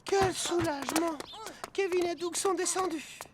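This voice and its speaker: A woman's voice: measured pace, background noise. A woman voicing an earnest, anxious young school bus in a French-dubbed children's cartoon, with a childlike, politely fretful delivery. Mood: anxious, relieved. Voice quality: high, childlike. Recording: music behind the voice.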